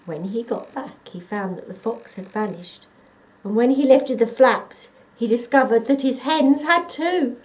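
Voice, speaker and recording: A woman reading a children's story aloud in an expressive narrating style. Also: a little high, a little slow, rough recording. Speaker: story reader.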